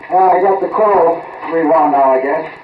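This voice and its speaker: A man's voice: on the high side, moderate pace, natural voice. A male actor playing an eager, grumbling laboratory assistant in a folksy 1940s radio drama style. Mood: flustered. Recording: tinny, scratchy 1940s radio recording.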